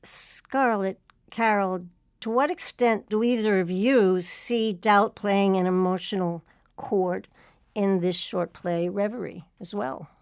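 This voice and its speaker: A woman's voice: mid-range voice, steady tone, takes it slowly, clear, resonant tone, no room sound. Formal woman host with a dry, close sound. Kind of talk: scripted introduction and interview.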